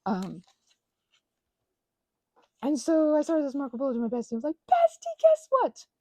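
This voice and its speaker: A woman's voice: high-pitched, huge pitch swings, normal speed, dark tone, no room sound, low-quality recording. A young adult woman chatting casually as she crafts, in a scattered, self-interrupting way, gushing and poking fun at herself as she wanders off on tangents. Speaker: craft and ASMR channel host. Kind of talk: casual rambling chat while crafting.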